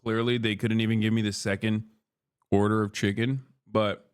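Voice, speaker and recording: A man's voice: on the low side, level tone, normal speed, clear, resonant tone. Profane, bantering man from Turkey. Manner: profane, bantering, reads chat aloud and argues with it. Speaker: streamer. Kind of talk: casual livestream chat while eating.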